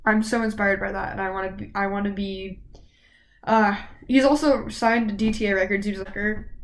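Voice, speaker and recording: A woman's voice: mid-range voice, fairly even intonation, fairly quick, rough recording. A woman in her late teens chatting casually to camera as a vlogger, rambling and gushing, a bit sniffly from a cold. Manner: rambling, gushing fan talk.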